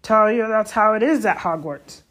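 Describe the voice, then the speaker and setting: Woman putting on a big gruff gamekeeper, pitched up. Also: normal speed, natural voice. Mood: fierce. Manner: rustic, colloquial, commanding. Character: big gruff male gamekeeper, fierce yet caring. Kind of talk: audiobook character voice.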